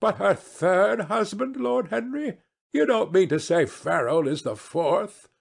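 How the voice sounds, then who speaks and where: Man voicing an arch, high-pitched elderly society hostess. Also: animated, a little slow, vocal fry, slightly rough, full character voice. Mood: incredulous. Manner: arch, exclamatory, theatrical. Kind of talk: audiobook character voice.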